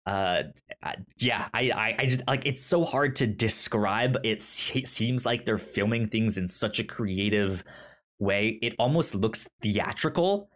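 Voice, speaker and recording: A man's voice: lowish voice, measured pace, a bit bright. Stuttering man on a casual podcast. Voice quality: stutters, repeating syllables and words. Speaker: podcast co-host. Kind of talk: casual review podcast chat.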